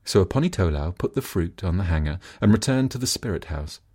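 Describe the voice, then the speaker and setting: A man's voice: low-pitched, expressive, brisk, darkish tone, husky. A man reading a fable aloud as a scripted storybook narration.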